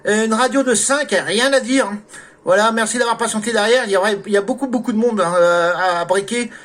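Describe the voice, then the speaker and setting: A man's voice: high voice, brisk, a bit bright. A man making casual conversation as an amateur radio operator, often tagging his phrases with little filler words. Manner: frequent "hein" and "là" tags. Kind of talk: casual radio contact chat. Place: at home radio station. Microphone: desk microphone through a mixer.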